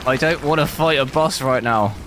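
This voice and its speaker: A man streaming a video game live, talking casually through the settings, with a hoarse voice he says he is losing. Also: mid-range voice, normal speed. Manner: thinks aloud casually, bursts into loud dismayed cries. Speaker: gaming streamer. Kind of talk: live gameplay commentary. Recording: noticeable background noise.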